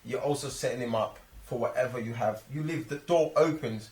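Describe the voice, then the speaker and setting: A man's voice: medium pitch, brisk, a little mellow. A man chatting casually about films, using slang and repeating short phrases. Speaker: film review show co-host. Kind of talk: casual film discussion. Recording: roomy, echoing room sound.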